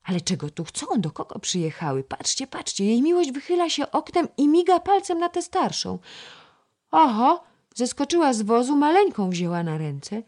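A woman voicing an excitable young girl at court who gossips breathlessly about arriving guests. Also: sits low, moderate pace, slightly rough, exaggerated voice. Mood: excited. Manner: breathless, exclaiming.

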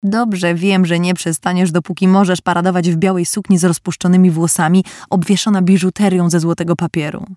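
A woman voicing a bold, tomboyish teenage girl who speaks briskly and firmly. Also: fairly low pitch, level tone, warm tone, dry recording, clean studio sound, close to an everyday voice. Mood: lively. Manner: brisk, firm and enthusiastic.

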